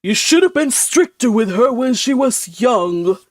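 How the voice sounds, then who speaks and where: A brusque, pompous elderly uncle barks out his firm judgments, as a woman reads him out. He speaks slowly and forcefully, in a dry, close sound. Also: a little high, expressive, no echo. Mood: stern. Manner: blunt, brusque, commanding.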